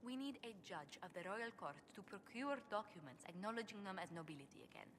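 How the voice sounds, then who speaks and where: A woman's voice: medium pitch, lively intonation, a little fast, a bit bright, lightly acted. An actress as a formal lady diplomat, echoing hall. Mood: composed. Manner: formal, polite. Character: courteous, shaken female court diplomat. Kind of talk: video game dialogue acting. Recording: long hall reverb, background noise.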